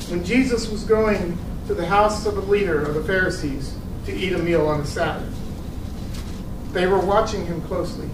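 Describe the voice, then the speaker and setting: A man giving a casual sermon, telling a story and reading scripture, with halting restarts and teasing asides to his listeners. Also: high voice. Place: church room with a live audience. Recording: echoey room from a distant mic with noise.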